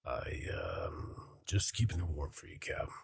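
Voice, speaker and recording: A man playing a measured, caring starship crew member in a scripted sci-fi skit, speaking formally and earnestly. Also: extremely low pitch, wildly swooping pitch, vocal fry, husky, no echo, studio quality, full character voice. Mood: sheepish. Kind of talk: scripted sci-fi log narration in an audio skit.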